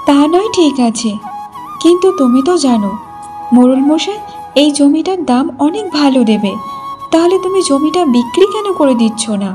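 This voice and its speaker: A woman's voice: sits high, expressive, moderate pace, mellow, soft background music. A woman voicing a young, earnest village wife in a cartoon story. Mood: pleading, insistent. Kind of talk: Bengali cartoon story voice acting.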